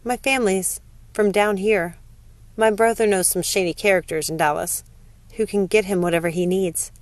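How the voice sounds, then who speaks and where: Young nurse in a novel, read by a woman narrator: practical, reassuring, mid-pitched, dry recording. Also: fairly even intonation, normal speed, no room sound, lightly acted.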